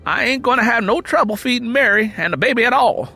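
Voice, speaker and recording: A man performs an earnest young country churchman in an audio drama, high and bright. He speaks steadily and respectfully in folksy Southern dialect, polite yet set on his purpose, with lively pitch. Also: fast, creaky, breathy edge, faint music behind, full character voice. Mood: earnest, pleading. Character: earnest young rural churchman suitor, twenty-seven, polite but determined.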